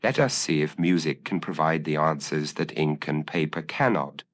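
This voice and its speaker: A man's voice: extremely low pitch, expressive, moderate pace. A man voicing a brilliant, intense Victorian detective in an audiobook, speaking in a precise, commanding and formal way. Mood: contemplative.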